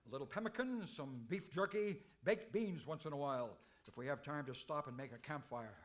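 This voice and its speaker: A man's voice: on the high side, brisk, close to an everyday voice. A man playing an earnest, hapless cowboy hero in a radio comedy, a put-upon and defensive grown son. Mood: sheepish, defensive. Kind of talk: old-time radio comedy acting.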